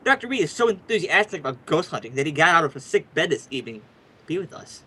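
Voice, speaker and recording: A man's voice: a little high, big pitch swings, fast talker, pushed and bright, breathy edge, full character voice. A man acting a brash, wisecracking ghost hunter who hosts a radio program, in a chatty, showy old-time radio drama style.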